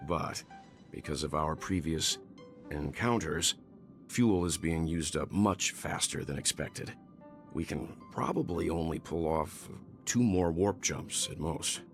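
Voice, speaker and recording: A wise older navigator from a video game, with an extremely deep voice. He speaks in a measured, thoughtful and articulate way, with faint music underneath. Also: exaggerated voice. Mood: grave, explaining.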